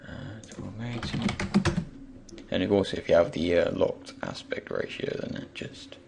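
Croaky, gravelly deep young man. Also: very noisy. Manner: relaxed, thinking aloud with many pauses. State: just woken up. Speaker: tutorial creator. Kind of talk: screen-recorded software tutorial.

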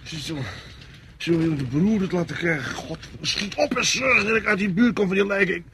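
Drunk bossy war officer, gravelly, slurring and repeating, radio play, lots of battlefield noise. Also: male voice, high voice, sharp tone. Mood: irritable, defiant. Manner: slurred, halting, repetitive, drunk. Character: drunken, reckless, bullying male officer leading a patrol. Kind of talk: radio drama acting. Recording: noisy battlefield ambience behind.

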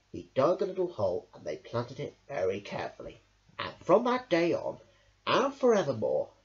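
A young male reading a children's storybook aloud in a deliberate, dramatic way. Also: on the high side. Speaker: storybook reader. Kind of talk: scripted children's story narration.

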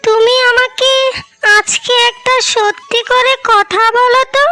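Woman voicing a very high-pitched young village wife. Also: steady tone, unhurried. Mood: earnest, concerned. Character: two young married village sisters, earnest and tearful. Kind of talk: cartoon story voice acting.

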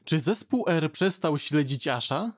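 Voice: mid-range voice, expressive, measured pace. A narrator reading out fan questions in a plain, questioning tone. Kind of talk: fan comic dub.

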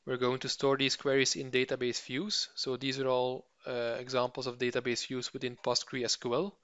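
Even-toned male course instructor, dry lo-fi. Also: mid-range voice, moderate pace, smooth, no echo. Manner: steady explanatory delivery with occasional fillers. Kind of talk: slide-based course introduction. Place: voice-over for slides.

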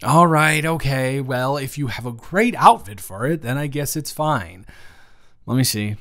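A man playing a flustered, caring young best friend in an improvised romantic audio roleplay, talking haltingly and teasingly. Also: mid-range voice, fairly bright tone. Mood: reluctant, unsure. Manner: hesitant, halting, conversational, teasing.

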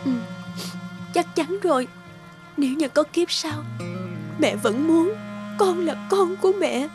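A woman's voice: high voice, slow pace. A woman playing a loving, grieving mother in a cartoon drama, with a tender, emotional and pleading manner. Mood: tender sorrow. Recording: loud music bed behind the voice. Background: music.

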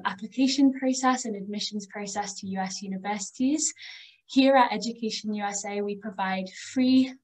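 A woman's voice: medium pitch, low-quality recording. A young woman from England with a Liverpool accent, hosting an online webinar as an education advisor over a wired earphone mic, friendly and conversational with frequent ums. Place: home room on a video call.

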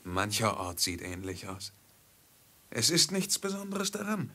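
An actor playing a dutiful, level-headed servant, measured and deferential with a dry touch, in a 1950s radio play. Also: male voice, fairly low pitch, big pitch swings, pushed and bright, heavily acted. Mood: sober, dry. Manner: measured, deferential, poetic phrasing. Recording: 1950s mono radio recording with music.

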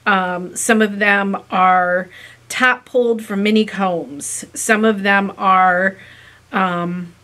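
Raspy woman with a bright voice. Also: mid-range voice. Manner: chatty, thinks aloud with many ums. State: raspy from a coming cold. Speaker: fiber-craft vlogger. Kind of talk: casual chat to camera. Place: small room in a home, indoors. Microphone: camera in front at desk distance.

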